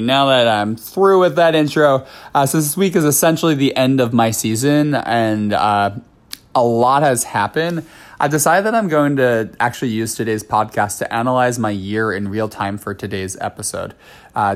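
A man hosting his own podcast, talking casually and candidly while he has a cold, with lots of fillers and quick asides. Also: mid-range voice. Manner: chatty, candid, many fillers and self-asides. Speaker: podcast host. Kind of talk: casual solo podcast talk.

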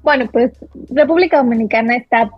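Husky Mexican woman telling stories. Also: fairly high pitch, a little fast, no room sound, dull audio, soft background music. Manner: chatty, laughs often, many fillers. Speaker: podcast guest. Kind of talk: casual interview storytelling.

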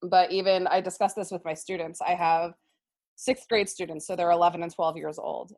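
A woman's voice: on the low side, steady tone, normal speed, a little mellow. A young American woman, a sixth grade teacher, speaking as an interview guest in an earnest, explanatory way. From United States. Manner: earnest, explanatory, frequent "um" and "right?". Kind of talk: conversational interview.

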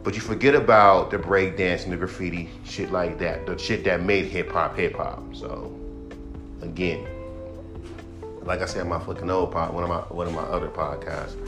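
Male podcast host, 30s, rapper; blunt profane rant, self-described monotone delivery, faint music bed. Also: low voice, moderate pace. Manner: blunt, heated, heavy swearing.

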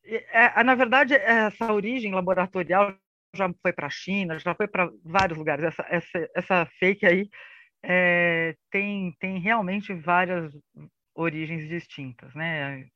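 A man's voice: high-pitched, a little slow, bright, forceful tone, no room sound, muffled recording. A man with a Brazilian accent giving an informal lecture in a didactic, conversational way, often adding tag questions. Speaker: panelist presenting on disinformation. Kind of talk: informal lecture with slides in an online debate. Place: online live session recorded for a podcast.

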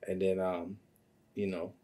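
Low, mellow man, rambling and slangy. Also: little pitch movement, measured pace, far from the mic. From Trinidad. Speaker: podcast guest, music producer and songwriter. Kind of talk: casual interview chat.

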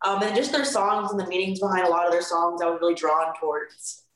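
A woman's voice: lowish voice, steady tone, fast, fairly bright tone, roomy sound, rough recording. A teenage girl from Florida, a singer being interviewed, talking in a chatty, upbeat way with lots of "like" and "you know". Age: teens. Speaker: guest singer. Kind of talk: casual interview chat.

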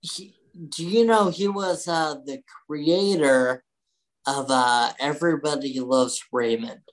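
A woman's voice: sits low, takes it slowly, low-quality recording. A woman co-hosting a podcast in casual chat, laid-back, mostly giving short agreeing replies. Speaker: podcast co-host.

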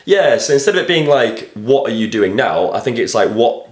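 Casual podcast host, animated pitch, roomy echo. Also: normal speed, crowd noise. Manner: relaxed, reads out listener questions. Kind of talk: casual chat. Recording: echoey room.

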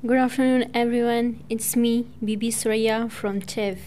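A young woman from Pakistan whose first language is Persian, speaking halting, hesitant English with many fillers as a student talking on community radio. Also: on the high side, steady tone, mellow, a little hiss or hum. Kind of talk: prepared personal talk.

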